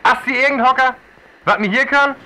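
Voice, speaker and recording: An actor playing an urgent radio operator with a North Frisian accent, sending calls through a radio filter in a radio drama. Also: male voice, very high-pitched, brisk, bright, forceful tone, exaggerated voice, low-quality recording. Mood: urgent calling.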